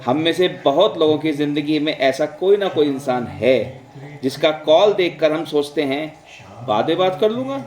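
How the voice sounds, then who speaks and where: Echoey man telling reflective stories. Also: a little high. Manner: addresses listeners directly, mixes in English words. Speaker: vlog host and storyteller. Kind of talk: conversational reflective storytelling. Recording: roomy, echoing sound.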